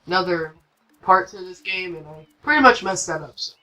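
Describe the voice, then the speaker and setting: A woman's voice: lowish voice, lively intonation, a little fast, pushed and bright, rough recording. A woman giving casual, rambling, unscripted commentary as host of a gameplay video. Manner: rambling, informal.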